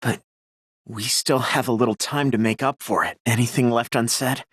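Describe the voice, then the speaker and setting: A man's voice: mid-range voice, steady tone, normal speed, fairly bright tone, husky, dry recording, clean studio sound. An actor playing an earnest man from the slums in a video game. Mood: apologetic. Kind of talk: video game character acting.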